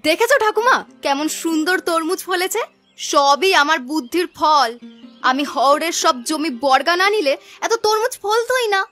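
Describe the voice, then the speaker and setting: A woman voicing a greedy, stubborn young farm girl who argues bossily in an animated moral-story cartoon. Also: very high-pitched, moderate pace, faint music behind. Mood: boastful. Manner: bossy, argumentative, scolding.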